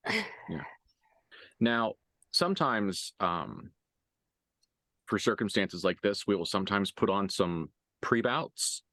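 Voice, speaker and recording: A man running a tabletop role-playing game as game master, improvising narration and dialogue in a casual, halting, sweary way. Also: on the low side, expressive, a bit bright, dry recording, clean studio sound.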